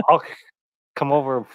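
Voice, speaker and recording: A man's voice: mid-range voice, no room sound. Muffled American man chatting casually. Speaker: podcast panelist. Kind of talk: casual chat. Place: small curtained podcast room. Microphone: podcast microphone.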